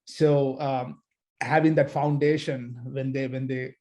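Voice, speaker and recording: A middle-aged man from Sri Lanka speaking on a panel over a video call, measured, with many fillers and restarts. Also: medium pitch, flat delivery, warm tone. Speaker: nonprofit founder, panel speaker. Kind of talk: panel discussion talk.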